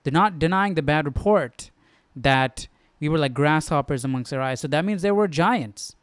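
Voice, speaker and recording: Smooth, casual man thinking aloud. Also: fairly high pitch, animated, moderate pace, no room sound. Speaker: host of a live Bible study stream. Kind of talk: casual study-along commentary.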